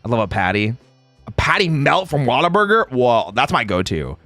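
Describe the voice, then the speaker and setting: A man's voice: mid-range voice, very animated, normal speed, bright, forceful tone, dry recording, soft background music. A man in a playful, casual livestream chat, reading out viewer comments and replying in slangy speech. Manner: playful, reads chat aloud and replies.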